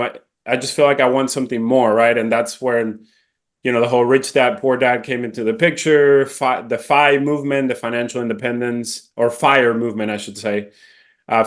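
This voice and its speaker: A man in his thirties from Venezuela with a faint Venezuelan Spanish accent, a podcast guest talking casually and correcting himself as he goes. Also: medium pitch. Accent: slight Venezuelan Spanish. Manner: rambling, self-correcting, many fillers. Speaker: podcast guest, real estate agent. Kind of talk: casual interview conversation.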